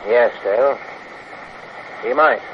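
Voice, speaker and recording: An actor playing a cautious, grave legal adviser in a 1950s radio drama. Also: male voice, medium pitch, slow pace, close to an everyday voice. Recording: noisy, dull old radio recording.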